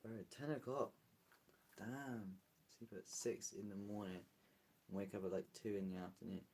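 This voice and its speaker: A man's voice: lowish voice, fairly even intonation, normal speed, a little mellow, slight background noise. A British man streaming live, chatting casually and rambling as he answers viewer comments. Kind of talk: casual livestream chat.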